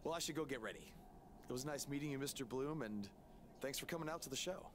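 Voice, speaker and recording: An actor playing an earnest, modest young rookie wrestler in a video game cutscene, plain-spoken and deferential. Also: male voice, mid-range voice, fast, background noise, lightly acted. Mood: eager, polite.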